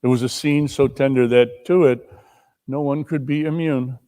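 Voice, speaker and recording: A man giving a theatrical storybook narration, deliberate and expressive. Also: medium pitch. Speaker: narrator in a dramatic reading.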